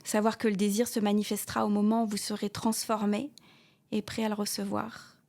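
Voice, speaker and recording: A woman guiding a yoga nidra meditation, speaking softly with pauses and repeated soothing phrases, often trailing off into a breathy whisper. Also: mid-range voice, level tone. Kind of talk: guided meditation with a read inspirational text.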